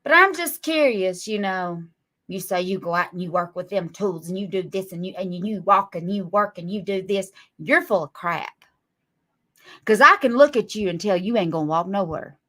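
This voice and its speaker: A woman in her forties with a drawl, lecturing critics on a live stream. Her bright, forceful voice stays sarcastic and scolding. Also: medium pitch, lively intonation, normal speed. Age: 40s. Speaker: livestream guest.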